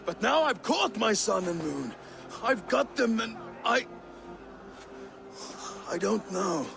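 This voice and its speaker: An actor playing a drunken Viking warrior in a video game, gravelly, rambling and declaiming, boisterous yet melancholy. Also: male voice, sits high, sing-song, slow pace, music in the background, exaggerated voice. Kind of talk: video game dramatic acting.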